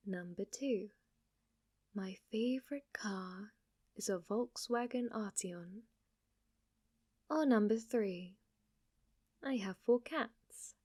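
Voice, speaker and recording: A woman playing a playful, possessive, lovestruck witch in an ASMR roleplay, speaking to the listener in a teasing, cutesy way. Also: on the high side. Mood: smug, teasing. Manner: teasing, cutesy, swinging between coy murmurs and eager outbursts.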